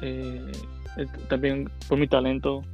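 A man's voice: medium pitch, completely flat delivery, slow, darkish tone, lo-fi sound, faint music behind. A man in his thirties from the Dominican Republic, answering interview questions casually with many fillers and English words mixed in. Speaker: interview guest, content creator.

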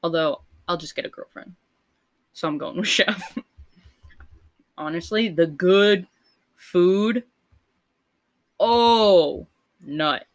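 A young woman with a low voice co-hosts a loose, casual podcast. She reads out poll questions and chatters between them, laughing often. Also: slow, long pauses. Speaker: podcast co-host.

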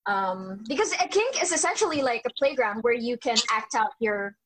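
A woman's voice: on the high side, animated, normal speed, fairly bright tone, a little hiss or hum, low-quality recording. A woman on a panel speaking reflectively and hesitantly in a casual chat, with many fillers and restarts.

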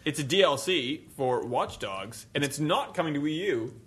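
A man keeping a casual gaming podcast on track, with a bright, sharp tone and a husky edge. His pitch is a little high and jumps around as he teases the others. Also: animated, normal speed. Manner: teasing, moves the show along. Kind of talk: casual group chat about games.

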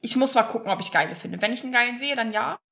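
A young woman co-hosting a casual podcast chat, loud and laughing, with frequent swearing. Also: fairly high pitch, racing, vocal fry. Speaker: podcast co-host.

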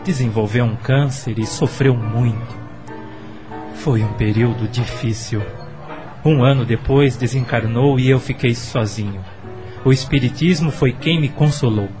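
An actor playing a gentle, lovestruck middle-aged widower in a radio soap, speaking earnestly and courteously. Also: male voice, mid-range voice, a little slow, over music, natural voice. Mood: reflective, wistful. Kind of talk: Brazilian radio soap acting.

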